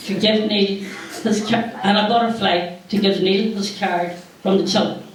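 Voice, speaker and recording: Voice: medium pitch, steady tone, normal speed. A person making an informal presentation speech to hand out gifts. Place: crowded echoey hall, recorded from far off. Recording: echoey hall, distant mic, loud crowd, noise.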